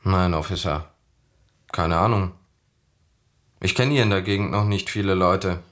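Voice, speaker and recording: Low-voiced gruff neighbor man. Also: measured pace, fairly bright tone. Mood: gruff, cooperative. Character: gruff, helpful suburban male neighbor questioned by police. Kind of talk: naturalistic German radio drama acting.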